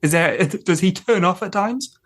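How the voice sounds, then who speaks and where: A man's voice: high voice, lively intonation, moderate pace, fairly bright tone. A man chatting casually and wryly as a co-host on a podcast. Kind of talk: casual chat about a TV show.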